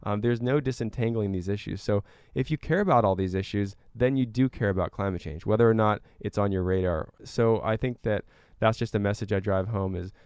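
A man's voice: fairly low pitch, brisk, hardly any pauses, darkish tone, clear, resonant tone, no room sound, clean studio sound. A man giving earnest, explanatory answers as a guest in a conversational interview. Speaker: climate educator and guest.

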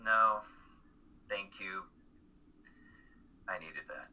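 A man's voice: sits low, extremely slow, highs cut off. A man acting a tired, subdued male college student in a dramatic reading, speaking hesitantly in short replies. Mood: quiet, tentative. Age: young adult. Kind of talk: radio-play style dramatic reading.

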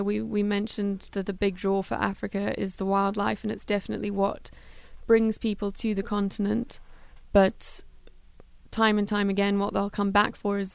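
A woman from Zimbabwe, a guest in a studio interview who talks in an even, unhurried way about her work. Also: medium pitch, creaky, no echo. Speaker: guest, travel philanthropy worker.

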